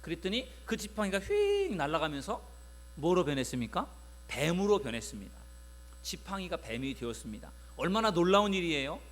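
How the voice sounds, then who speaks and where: A male pastor giving a Sunday sermon in a conversational way, often pausing on filler sounds while he retells a Bible story to the congregation. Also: high-pitched, wildly swooping pitch.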